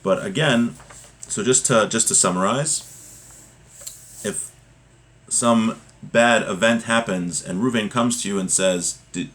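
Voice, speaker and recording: A man's voice: lowish voice, unhurried, long pauses, a bit bright. A man giving an informal religious class, reading from a text and explaining it point by point, often rephrasing and repeating. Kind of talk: informal text lesson, reading aloud then translating and explaining.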